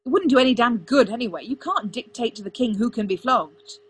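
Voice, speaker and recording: A woman's voice: mid-range voice, normal speed, fairly bright tone, husky. A woman voicing a proud, stubborn young crown prince with a clipped, commanding manner, in an audiobook story. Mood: exasperated.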